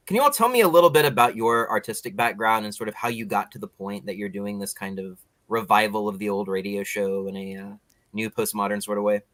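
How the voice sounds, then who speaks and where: A man's voice: on the low side, fairly bright tone, no echo. An American man hosting a conversational interview show, introducing topics and putting questions to guests.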